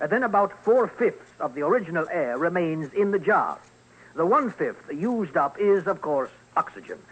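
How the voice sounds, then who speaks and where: Radio drama scientist: Scottish academic, measured, explanatory, high-pitched. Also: male voice, slightly rough, rough recording. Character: Daniel Rutherford, methodical Scottish university chemist.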